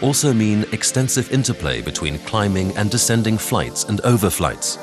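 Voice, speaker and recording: A man reading scripted documentary narration in a measured, instructional way. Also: on the low side, animated. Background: background music.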